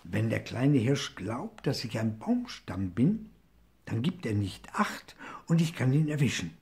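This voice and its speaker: A man voicing a sly crocodile who schemes to himself in a low, slow whisper in a storybook reading. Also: very animated, gravelly, a little mellow. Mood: scheming.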